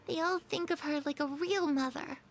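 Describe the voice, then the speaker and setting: A woman's voice: high voice, normal speed, slight background noise. A lonely dreamy young girl. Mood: bitter. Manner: soft, dreamy, confiding. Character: lonely, wistful young girl confiding secrets. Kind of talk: game cutscene voice acting.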